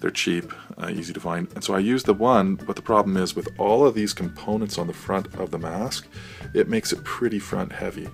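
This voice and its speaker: A man's voice: fairly quick, vocal fry, faint music behind. An American man giving a casual, hesitant, off-the-cuff walkthrough of his DIY project. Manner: hesitant, off-the-cuff, low-key.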